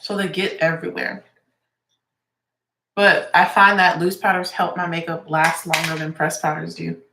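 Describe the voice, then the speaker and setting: A woman's voice: lowish voice, little pitch movement, normal speed, vocal fry, sharp tone, slight background noise. Congested woman chatting in an echoey room. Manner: chatty, rambling, lots of "like". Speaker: beauty vlogger and teacher. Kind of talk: casual get-ready-with-me chat.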